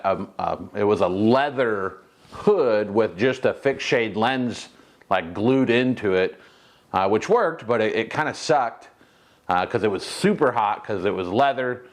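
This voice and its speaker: An American man hosting a fabrication vlog, talking casually in a hesitant, rambling, laid-back way. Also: fairly low pitch. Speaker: host of a metal fabrication how-to channel. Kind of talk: casual workshop vlog talk.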